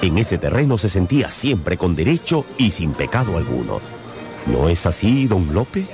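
Male radio novel narrator, deep pitch, theatrical storytelling delivery, prominent music bed. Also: sing-song, normal speed.

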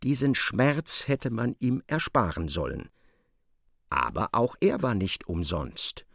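A man acting as the sardonic narrator of a literary radio play, articulate and expressive. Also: medium pitch, takes it slowly, creaky, dry recording, clean studio sound, heavily acted. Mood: intent. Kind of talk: dramatised scripted narration.

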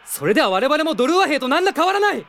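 An actor playing a young, idealistic prince in an anime-style radio drama, speaking with polite, earnest formality. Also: male voice, very high voice, very animated, racing, a bit bright, slight background noise, exaggerated voice. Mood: pleading, impassioned.

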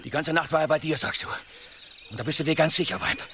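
Gruff, creaky-voiced village blacksmith. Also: male voice, on the high side, quick pace, bright, forceful tone, slight background noise. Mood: demanding. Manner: brusque, demanding. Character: gruff, suspicious village blacksmith, anxious father. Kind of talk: German radio drama acting.

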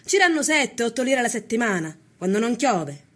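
A woman playing a poor, plain-spoken peasant wife with a Sicilian accent and a husky voice. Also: a little high, big pitch swings, brisk. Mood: plaintive, blunt. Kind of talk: acted documentary testimony.

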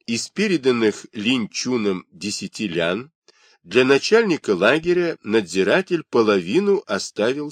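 A man narrating a classic tale as an audiobook, in a measured storytelling manner. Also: medium pitch, no room sound.

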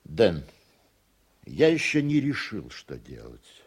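Dignified male official, low husky voice, stern, formal, measured, radio drama. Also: wildly swooping pitch, exaggerated voice. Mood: stern, offended. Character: dignified, firm male official refusing to be intimidated. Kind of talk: Russian radio play acting.

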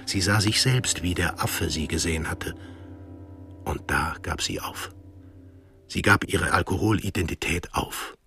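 A man's voice: low-pitched, unhurried, sharp tone, soft background music. A man narrating a radio drama from a script.

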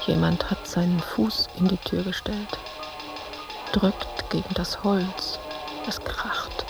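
An actress playing an intense, uneasy woman in a literary radio play, narrating her memories and fears in terse, clipped phrases with a creaky voice. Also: fairly low pitch, steady tone, slow pace, over music. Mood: tense, watchful. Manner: terse, clipped phrases, at times near whispering.

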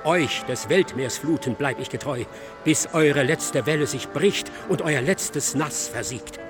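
An actor playing an excitable, self-absorbed male scholar in a German radio drama, lecturing insistently and theatrically. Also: fairly high pitch, a little slow, over music, lightly acted. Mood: solemn, declamatory. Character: excitable, self-absorbed male scholar expounding his dark ghost-ship thesis.

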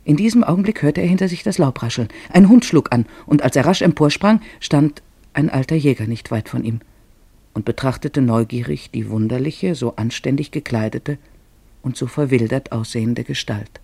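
A man's voice: mid-range voice, normal speed, creaky, dark tone, husky, no echo. A man narrating a literary radio drama in German, reading scripted storytelling prose.

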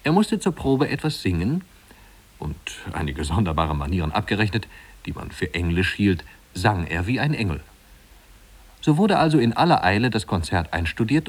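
An actor playing a measured, gently ironic storybook narrator in a 1950s-style German radio play. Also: male voice, on the low side, breathy edge.

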